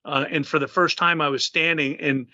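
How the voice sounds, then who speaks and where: A man in his fifties, a retired admiral, answering interview questions in a halting way with many filler sounds. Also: on the high side, fairly even intonation, bright, forceful tone, no room sound, dull audio. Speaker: guest author, retired admiral.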